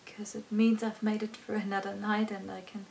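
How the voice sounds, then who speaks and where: A woman performing her own poem as a dramatic, earnest spoken-word recital, with expressive delivery. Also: medium pitch, fairly quick, gravelly, slight background noise. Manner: expressive, earnest, swinging between hushed and intense delivery.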